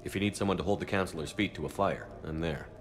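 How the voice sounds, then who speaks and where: An actor playing a plain-spoken, loyal military commander in a video game, with an informal, terse delivery. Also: male voice, low voice, fast, slight background noise, close to an everyday voice. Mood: subdued, supportive. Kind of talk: video game cutscene acting.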